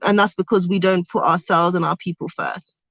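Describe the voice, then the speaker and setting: A woman's voice: lowish voice, fairly even intonation, moderate pace, fairly bright tone, muffled recording. A young woman chatting casually on a livestream from a car, reading viewer comments aloud. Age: young adult. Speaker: vlogger. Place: inside a car on a road trip.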